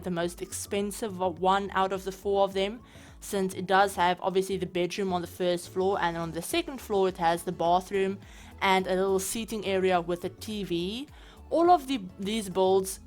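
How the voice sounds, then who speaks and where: A woman with a South African accent, chatting casually over her gameplay as a channel host, rambling with lots of fillers. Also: medium pitch. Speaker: game building channel host.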